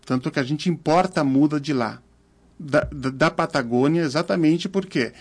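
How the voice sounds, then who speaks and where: A man in his fifties with a southern Brazilian accent, answering interview questions earnestly and haltingly, repeating words as he searches for phrasing. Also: a little high. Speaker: interview guest, organic farmer.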